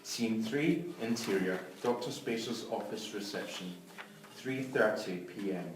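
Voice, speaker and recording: A man's voice: lowish voice, unhurried. A man reading scene headings and sound-effect directions aloud from a script. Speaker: stage directions reader. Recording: echoey room, far from mic, faint noise.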